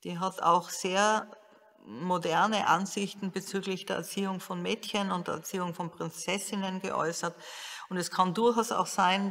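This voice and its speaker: A woman's voice: on the low side, gravelly, fairly bright tone. A woman giving considered, academic commentary as an expert in a documentary interview. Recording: long reverb.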